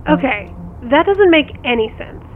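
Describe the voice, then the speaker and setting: Actress as wry sister on a tinny phone. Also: female voice, high voice, expressive, normal speed. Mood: wry, puzzled. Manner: wry, direct, probing. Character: sharp, teasing sister of the main character. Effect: phone call filter. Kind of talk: audio drama acting, conversational. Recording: tinny phone line, faint background noise.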